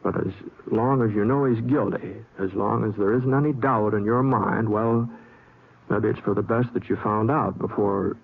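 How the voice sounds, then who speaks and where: An actor playing an easygoing cowboy drifter with an American accent, in the folksy, hesitant style of old radio drama. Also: male voice, fairly low pitch, fairly even intonation, moderate pace, creaky, breathy edge. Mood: gentle, reluctant. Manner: folksy, hesitant, plain-spoken drawl. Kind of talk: 1950s radio drama acting and first-person narration. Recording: scratchy, tinny, noisy old radio recording.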